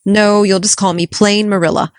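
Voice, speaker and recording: Woman doing a strict older-ish spinster lady, clipped and disapproving, mid-pitched and dry. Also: fairly even intonation, moderate pace, dry recording, natural voice. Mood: stern. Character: stern, strict middle-aged spinster woman. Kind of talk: audiobook character voice.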